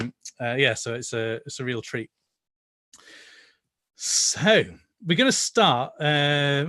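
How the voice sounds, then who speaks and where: A man's voice: medium pitch, a bit bright, dry recording. A man leading an online choir rehearsal over a video call, chatty and hesitant, with many fillers. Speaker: choir leader.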